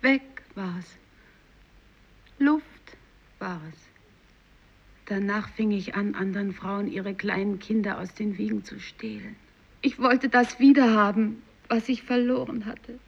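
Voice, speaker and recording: Eine Schauspielerin spielt im literarischen Hörspiel eine verbitterte ältere Fischersfrau, die ihr Kind verloren hat. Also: female voice, medium pitch, measured pace, creaky, husky. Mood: bitter grief. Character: embittered, older fisherwoman who lost her child. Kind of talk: 1950s literary radio play acting. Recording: dull, lo-fi radio recording.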